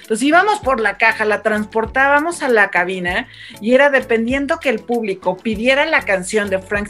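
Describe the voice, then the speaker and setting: A woman with a Mexican accent, a radio announcer, recalling her career in a lively, chatty way. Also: on the high side. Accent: Mexican Spanish. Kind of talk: conversational reminiscence.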